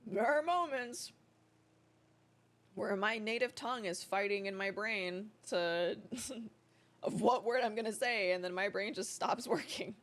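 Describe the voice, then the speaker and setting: A woman's voice: mid-range voice, unhurried, a bit bright. A woman streamer whose first language is not English, chatting casually with her viewers, swearing freely and laughing often.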